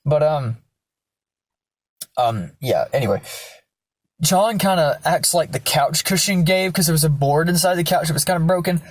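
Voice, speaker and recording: Hoarse young guy vlogging at home, loose and rambling, swears a lot. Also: on the high side, lively intonation, normal speed, long pauses, dry recording, poor audio. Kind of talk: casual storytelling vlog. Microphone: self-filmed camera.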